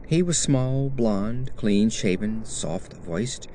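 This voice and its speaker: A man reading a horror story as an audiobook narrator, in a measured, literary first-person storytelling manner. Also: fairly low pitch, expressive, mellow, a little hiss or hum.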